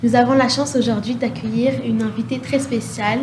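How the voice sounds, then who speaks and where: A woman presenting a radio show, reading scripted lines in a clear, measured way. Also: a little high, over music. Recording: steady background noise.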